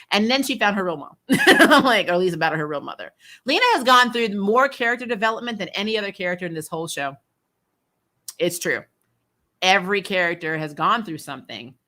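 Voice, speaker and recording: A woman's voice: mid-range voice, pushed and bright. A woman hosting a TV recap, chatting casually and bluntly with viewers, unfiltered and free with swearing. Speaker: host of a TV recap show, reviewer. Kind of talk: casual livestream chat answering viewer questions.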